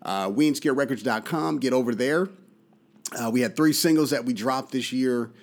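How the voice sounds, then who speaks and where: A man hosting a casual talk radio show from a studio, relaxed and full of uh and mm-hmm fillers. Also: mid-range voice, moderate pace. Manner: relaxed, fills his speech with uh and mm-hmm. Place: studio in Austin, Texas.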